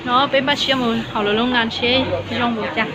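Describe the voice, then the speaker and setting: A woman's voice: a little high, fairly even intonation, moderate pace, a loud crowd. A woman in casual chat, talking informally. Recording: muffled phone-video sound, loud background noise.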